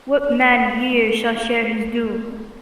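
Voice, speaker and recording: A solemn heavenly messenger acted by a young woman in an old-style radio play. She pronounces doom slowly in a fairly high voice, with commanding archaic phrasing. It is drenched in echo. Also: little pitch movement, fairly bright tone. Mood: solemn judgement. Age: young adult. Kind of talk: old-time radio drama acting. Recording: noisy, cavernous echo, distant.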